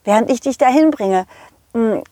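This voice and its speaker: A woman's voice: on the high side, lively intonation, moderate pace, dark tone, no room sound, studio quality, close to an everyday voice. A woman voicing a confident, loyal male dog who tells his adventures playfully in a children's audio play. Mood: helpful, caring. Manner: playful, addresses the children directly.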